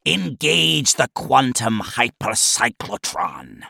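A man doing a gravelly, imperious old scientist. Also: medium pitch, wildly swooping pitch, takes it slowly, pushed and bright, dry recording, studio quality, voice pushed up, heavily acted. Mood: commanding. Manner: commanding, snapping. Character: stooped old male scientist, imperious and short-tempered.

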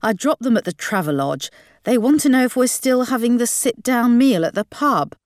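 A woman's voice: fairly high pitch, expressive, normal speed, darkish tone, dry recording, clean studio sound, pitched above the performer's own voice, lightly acted. A woman voicing a baffled, placating middle-aged father in an audiobook. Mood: flustered.

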